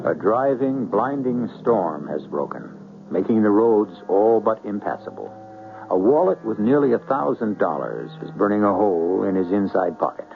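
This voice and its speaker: A man hosting a 1970s radio mystery, reading scripted narration in a measured, dramatic way. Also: fairly low pitch, lively intonation, gravelly, phone-quality sound, soft background music. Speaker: host and narrator. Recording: phone-thin old radio broadcast.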